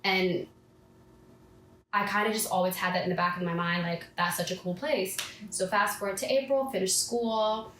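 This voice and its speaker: A woman's voice: mid-range voice, fairly even intonation, moderate pace, fairly bright tone. A woman in her twenties, a podcast guest, telling her personal story in a casual, chatty way and acting out things she once said to herself. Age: 20s. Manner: chatty, acts out her own past self-talk. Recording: echoey room with faint background hiss.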